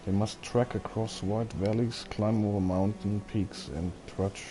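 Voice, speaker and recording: A man's voice: on the low side, steady tone, a little slow, noisy background. A man giving casual let's play commentary, muttering wry asides and reading game text aloud. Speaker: gamer playing and commenting.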